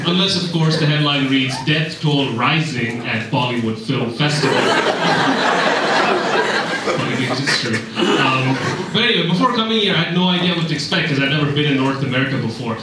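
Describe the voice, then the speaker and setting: A young man from Russia doing a stand-up comedy set with wry, deadpan delivery and lots of ums. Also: on the high side, very noisy. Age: young adult. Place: comedy club stage. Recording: echoey club recorded from a distance. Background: audience laughter.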